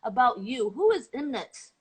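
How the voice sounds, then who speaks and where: Woman host, hesitant and filler-heavy, slightly high voice, firm when she objects. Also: sing-song, measured pace, breathy edge. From Saudi Arabia. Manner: hesitant, filler-heavy, then pushes back. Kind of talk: heated debate.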